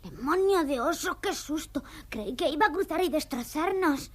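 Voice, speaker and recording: Young cartoon boy, boastful, very high pitch, wildly swooping delivery, music underneath. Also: normal speed. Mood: shaken relief. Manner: excitable, exclamatory. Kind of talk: animated series dub acting.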